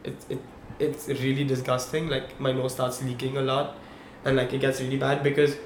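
A man's voice: medium pitch, moderate pace. A young man, a podcast co-host, telling a long story in casual chat and checking in with his listeners as he goes. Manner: animated storyteller, checks in with "okay? right?". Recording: echoey room, faint background hum.

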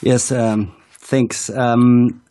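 A man, a ski school director, calling in to tell his story calmly and step by step. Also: medium pitch, very slow. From Austria. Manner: steady, methodical storytelling with "um"s. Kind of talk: casual interview answers. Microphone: calling in over an online video call.

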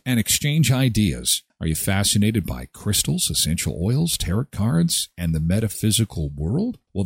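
A man speaks as the host of a live show. His voice sits at a medium pitch and his delivery is that of a conversational presenter. The sound is close and dry. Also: slightly rough, no echo. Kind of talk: live show chat and event promo reading.

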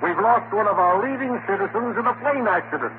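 An actor playing an exasperated city editor in a 1940s radio play, sarcastic and grudging, on a noisy, tinny old recording. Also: male voice, sits high, animated, fairly quick. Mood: brisk, skeptical. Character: gruff, exasperated male city newspaper editor.

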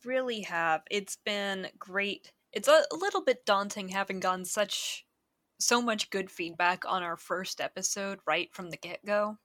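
A woman co-hosting a podcast, talking in a measured, dryly teasing way. Her voice is bright and clear, recorded close with no room echo. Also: mid-range voice. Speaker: podcast co-host. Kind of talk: casual podcast chat.

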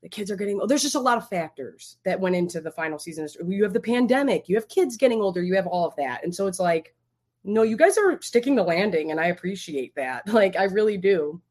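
Very fast-talking, effusive woman. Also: mid-range voice, animated, darkish tone. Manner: effusive, repeats phrases for emphasis. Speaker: guest on an online show. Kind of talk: casual chat.

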